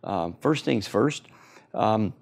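Older guy w a gravelly voice, eager and chatty, explains stuff on a tv interview set. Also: lowish voice, lively intonation, slow pace, darkish tone. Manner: enthusiastic, explanatory, frequent fillers. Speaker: oral surgeon guest. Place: TV studio.

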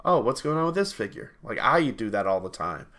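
A man's voice: fairly low pitch, very animated, brisk, a bit bright. A man in his thirties, sniffly from allergies, chatting casually over a headset on a video call from a small home room, rambling and correcting himself as he goes. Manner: rambling, self-correcting, laughs easily. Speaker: game reviewer co-host.

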